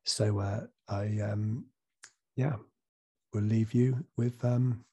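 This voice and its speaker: A man hosts a podcast in a relaxed, hesitant way, his voice croaky from a recent cold. He fills gaps with ums and often restarts his sentences. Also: fairly low pitch, slow pace. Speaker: podcast host. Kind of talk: casual interview.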